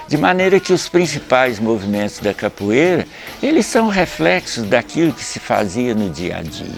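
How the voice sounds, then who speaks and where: An older man, a capoeira master explaining things at a measured pace and often adding a questioning tag at the end of a phrase. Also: mid-range voice, vocal fry. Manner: measured, explanatory, with frequent "né?" tags. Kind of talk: reflective documentary interview.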